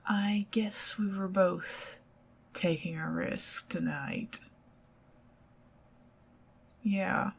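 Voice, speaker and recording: A middle-aged woman with a Southern US accent, speaking softly and partly in whispers in an ASMR date roleplay. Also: medium pitch, steady tone, drawn-out words, gravelly, pauses often, husky. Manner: intimate, soft-spoken, giggly, partly whispered.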